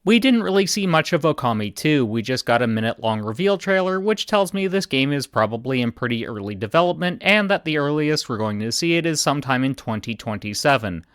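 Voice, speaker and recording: Male game commentator, deadpan read, resonant and dry. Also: medium pitch, a little fast, hardly any pauses, smooth, no room sound. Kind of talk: scripted video commentary.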